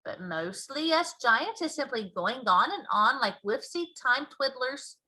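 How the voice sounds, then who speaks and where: A woman plays an old friendly giant from a children's storybook, high and bright in tone. He is grand and easily indignant, leaning hard on each mangled, drawn-out word. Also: lively intonation, exaggerated voice. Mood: explaining. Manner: emphatic, drawn-out, mangled grammar and made-up words. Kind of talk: children's storybook read-aloud.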